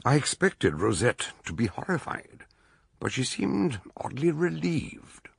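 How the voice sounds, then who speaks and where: An actor playing a shrewd, genial older British detective in a radio mystery, questioning courteously and narrating the case. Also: male voice, medium pitch, huge pitch swings, unhurried, vocal fry, breathy edge, exaggerated voice. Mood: measured.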